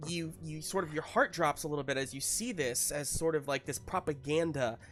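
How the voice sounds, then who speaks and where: A man improvising narration as game master of a tabletop game, piecing scenes together haltingly. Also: on the high side, a bit bright, soft background music. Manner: halting, searching for words in pieces.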